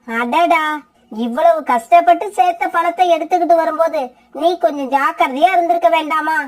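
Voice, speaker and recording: A woman voicing a gossiping, mocking female crow neighbor in a cartoon, speaking in a scolding, condescending way. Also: very high voice.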